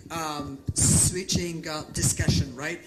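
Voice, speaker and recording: A man's voice: fairly high pitch, steady tone, takes it slowly, loud music behind the voice, low-quality recording. A man moderating a formal debate, giving instructions to speakers and audience. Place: debate event hall.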